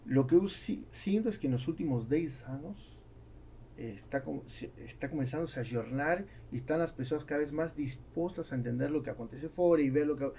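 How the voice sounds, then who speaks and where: A man with an Argentine Spanish accent talks casually as a podcast guest, slipping in Spanish words. His voice is mellow and creaky, recorded on a studio mic with faint background noise. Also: a little high, fairly quick. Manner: mixes Spanish words into speech. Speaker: guest entrepreneur. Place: small foam-padded indoor podcast studio. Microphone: studio mic on boom arm.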